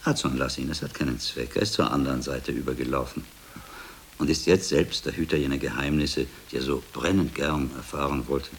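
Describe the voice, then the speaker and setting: Very deep, gravelly, shrewd friar. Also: male voice, fairly quick, slight background noise. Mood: resigned, dry. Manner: insistent, dry, authoritative. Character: shrewd, probing medieval friar and investigator. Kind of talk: radio drama dialogue.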